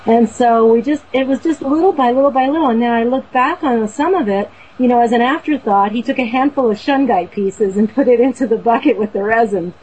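A woman's voice: on the high side, fairly quick, few pauses, darkish tone, a little hiss or hum, poor audio. A woman from Boston, a guest telling a story in an earnest, rambling way, full of fillers and restarts. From Boston, USA. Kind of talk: casual storytelling on a talk show.

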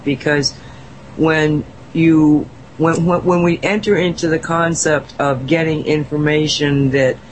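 A woman's voice: sits low, slight background noise, poor audio. Effusive, stammering woman guest. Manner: enthusiastic, effusive, with laughs and stammered restarts. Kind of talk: casual talk-show chat.

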